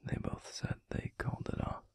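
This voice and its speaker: A man playing a gentle, protective werewolf boyfriend in an intimate audio roleplay, murmuring softly and soothingly with a breathy, gravelly voice. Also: no room sound, whispered, lightly acted. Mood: subdued, matter-of-fact. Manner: slow, soothing, unhurried. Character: gentle, protective adult male werewolf boyfriend, pack leader.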